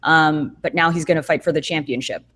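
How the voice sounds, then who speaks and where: Low-voiced woman chatting with fillers. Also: steady tone, fairly quick, sharp tone. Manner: thoughtful, rambling with many fillers and restarts. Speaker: co-host. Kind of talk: casual podcast chat. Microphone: video call.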